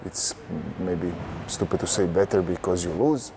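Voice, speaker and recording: A young man from Croatia, a Croatian-speaking basketball player, answering in an interview in a large indoor arena on a lapel mic, halting and repeating words as he searches for phrasing. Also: low-pitched, noisy background. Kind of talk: casual interview.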